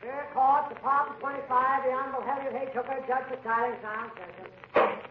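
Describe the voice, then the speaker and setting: High, proclaiming bailiff on old radio. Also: male voice, fairly even intonation, fairly quick. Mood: official. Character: formal court bailiff calling out announcements. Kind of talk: 1940s radio comedy acting, formal proclamation. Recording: muffled 1940s radio recording, faint noise and crowd.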